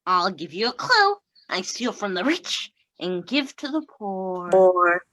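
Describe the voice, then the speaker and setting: Young woman acting swaggering outlaw in a comedy play, slow delivery, pitch swings around a lot. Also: very animated, bright, forceful tone, exaggerated voice, poor audio. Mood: bold, commanding. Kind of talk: comic stage acting over video call.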